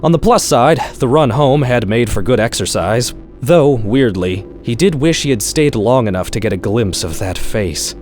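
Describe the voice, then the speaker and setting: A man narrates a darkly comic horror story in an audiobook style, with faint music underneath. His voice sits at a middle pitch, and his delivery is wry and sardonic. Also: lively intonation, normal speed, a little mellow. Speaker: author-narrator reading own short story.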